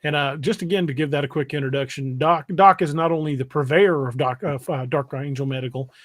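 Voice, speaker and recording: Higher-voiced man hosting a casual livestream. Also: a little fast, a bit bright. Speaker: podcast host.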